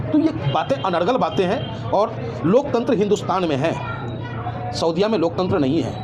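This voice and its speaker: A man's voice: sits high, animated, moderate pace, music in the background. A man giving impassioned opinions as an interview guest, emphatic and indignant, full of rhetorical questions.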